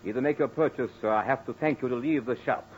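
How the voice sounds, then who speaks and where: An actor playing a cold, impatient shop dealer in 1940s radio drama style. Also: male voice, medium pitch, fast talker, soft background music, lightly acted. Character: cold, curt male shop dealer with no patience. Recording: muffled old radio recording.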